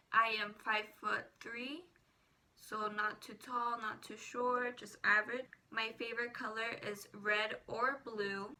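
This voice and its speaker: A woman's voice: a little high, sharp tone. An eighteen-year-old woman, a native Spanish speaker, chatting casually to viewers as a vlogger. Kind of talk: casual personal chat to viewers.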